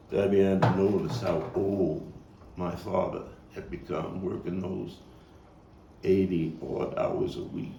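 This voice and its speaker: An actor playing a nostalgic, soul-searching Brooklyn man in a dramatic stage monologue, halting and repeating words as he moves between hushed and emphatic delivery. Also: sits low, vocal fry, heavily acted. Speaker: playwright and actor. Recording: distant mic, roomy echo, faint noise.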